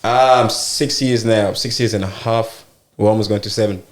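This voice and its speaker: A young man, a musician guest, talking casually and thoughtfully in an interview, with many pauses and fillers. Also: fairly low pitch, steady tone, a crowd in the background.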